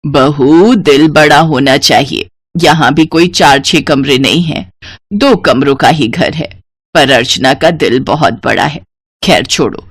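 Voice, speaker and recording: An elderly mother-in-law from a family storybook drama, played by a woman. Her voice sits well below the performer's usual pitch. She chides and grumbles in a blunt, reproachful way, recorded dry. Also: unhurried, slightly rough, no room sound, heavily acted. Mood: chiding. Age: older.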